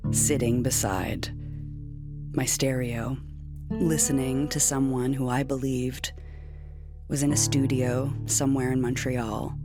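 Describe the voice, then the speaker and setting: A woman from Canada, an audio artist, talking earnestly and reflectively in an interview, repeating phrases for emphasis. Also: very deep voice. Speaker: audio artist and radio playwright.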